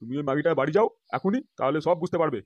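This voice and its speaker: A man's voice: a little high, expressive, very fast, dry recording, muffled recording. A man voicing a gossipy, meddling older neighbourhood uncle in a comedy cartoon, cautioning and insinuating. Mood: warning.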